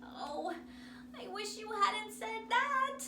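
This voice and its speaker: An anxious little girl from a storybook, played by a woman, high and whiny. Her delivery is dramatic and swooping, picked up in an echoey room. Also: lively intonation, slow pace, exaggerated voice. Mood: dismayed. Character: young girl, timid and anxious, bursting out emotionally. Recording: roomy, distant mic, noisy background.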